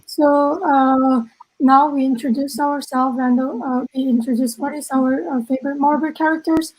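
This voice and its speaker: A young woman in her twenties from South Korea with a Korean accent, co-hosting an online event over a video call and filling her pauses with "uh.". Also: high-pitched, steady tone, a little mellow, rough recording. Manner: halting, many fillers. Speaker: event co-host.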